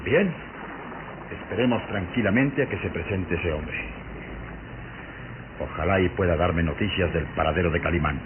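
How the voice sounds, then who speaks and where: A man playing a skeptical, gruff police inspector in a radio drama, questioning and thinking aloud in a deliberate way. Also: low-pitched, close to an everyday voice. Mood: skeptical, musing. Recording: muffled, noisy old radio drama recording.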